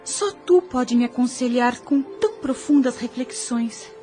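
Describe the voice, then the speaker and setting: A woman's voice: a little high, lively intonation, unhurried, mellow, faint music behind. An actress playing a distraught young betrothed woman in an audio soap opera, with a Brazilian accent and emphatic, formal, melodramatic delivery. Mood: pleading, resolved. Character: distraught young betrothed woman, bitter toward her mother. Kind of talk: radio soap opera acting.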